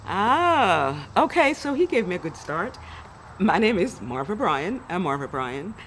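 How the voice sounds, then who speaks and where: A woman's voice: lowish voice, sing-song, measured pace, a bit bright, slight background noise. A woman from Jamaica talking casually about her life, chatty and self-correcting, laughing easily. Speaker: church member sharing her story. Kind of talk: casual personal reflection. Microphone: studio interview mic.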